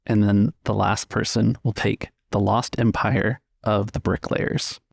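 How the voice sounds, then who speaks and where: A man with a lowish, creaky voice casually runs a tabletop game, setting out choices for the players. The recording is dry, without room sound. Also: flat delivery, measured pace, no echo. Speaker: game facilitator.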